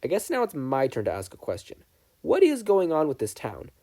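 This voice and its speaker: Teenage boy soldier, played by a man, earnest, guarded, measured, mellow tone, audiobook character. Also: on the high side, wildly swooping pitch, pitched above the performer's own voice, exaggerated voice. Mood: curious. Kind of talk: fan fiction audiobook character reading.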